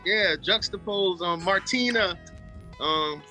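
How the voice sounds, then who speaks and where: A laid-back man co-hosts a podcast, chiming in with short, agreeing remarks. His voice is very bright and sharp, and sits a little higher than most. Faint music plays under the conversation. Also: slow pace. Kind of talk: casual podcast chat.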